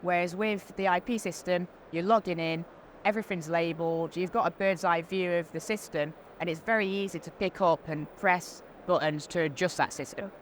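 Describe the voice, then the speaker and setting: A woman's voice: lowish voice, steady tone, moderate pace. A woman giving a conversational product explanation as an interviewed specialist, informal and practical, on a trade show floor. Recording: faint background noise. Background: faint ambient noise.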